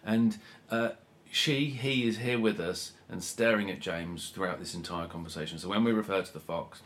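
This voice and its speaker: A man in his forties co-hosting a podcast in casual chat, teasing his friend and trailing off quietly at the ends of thoughts. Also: low voice, normal speed. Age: 40s. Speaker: podcast co-host.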